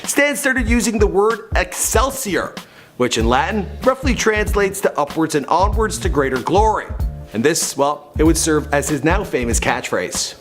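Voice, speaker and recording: A man's voice: fairly high pitch, big pitch swings, normal speed. A man delivering a scripted biography narration like a showy storyteller, with conversational asides. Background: background music.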